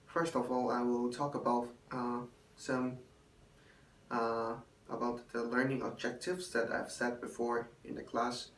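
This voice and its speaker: A young man, a hotel intern and native Chinese speaker, giving a halting spoken evaluation in English with many fillers. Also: medium pitch, flat delivery, a bit bright. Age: young adult. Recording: roomy, distant, faint room noise.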